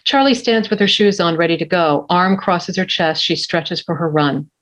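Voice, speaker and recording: A woman with a slightly low-pitched voice serves as narrator. She reads action lines from a script, in the style of a screenplay table read. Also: moderate pace, fairly bright tone. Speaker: stage-direction narrator.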